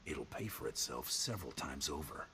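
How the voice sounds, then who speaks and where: An actor playing a cool, tough hired gun in a video game, with a dry, sardonic way of talking. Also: male voice, very deep voice, very animated, normal speed, bright, forceful tone, a little hiss or hum, exaggerated voice. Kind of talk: video game dialogue acting.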